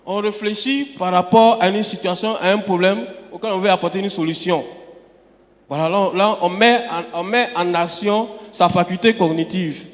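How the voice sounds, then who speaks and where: A man's voice: sits high, normal speed. A man from Côte d'Ivoire, preaching an emphatic sermon and often repeating words as he restarts. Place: church sanctuary. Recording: long hall reverb.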